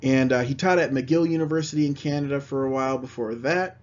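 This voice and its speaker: A man's voice: medium pitch, quick pace, darkish tone. A man lecturing on history, reading passages aloud and then explaining them in plain words. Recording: slightly dull, lo-fi recording.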